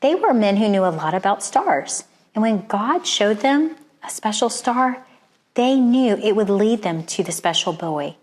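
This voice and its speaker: A woman reading a children's storybook aloud as a storyteller, with expressive, deliberate phrasing and dramatic pauses. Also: mid-range voice.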